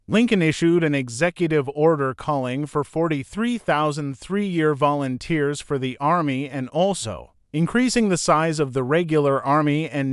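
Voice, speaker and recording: A man's voice: a little high, smooth, no room sound, studio quality. A man reading a scripted passage aloud. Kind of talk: scripted read-aloud narration.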